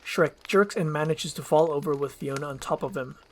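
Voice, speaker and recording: A woman's voice: sits low, brisk. A woman reading scripted stage directions as a narrator. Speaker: narrator and voice actor.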